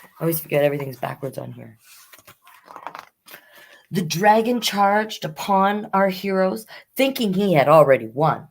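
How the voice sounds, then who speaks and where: A woman's voice: on the low side, expressive, normal speed, vocal fry, pauses often. A woman hosting a children's storytime, reading picture books expressively with chatty asides to young listeners.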